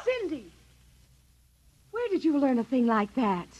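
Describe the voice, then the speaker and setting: A gentle mother in a vintage radio drama. The voice is fairly high and breathy, coaxing with an uneasy edge, on a dull old recording. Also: sing-song, a little slow, exaggerated voice. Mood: soothing. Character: gentle, anxious suburban mother and housewife. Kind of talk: 1950s radio drama acting.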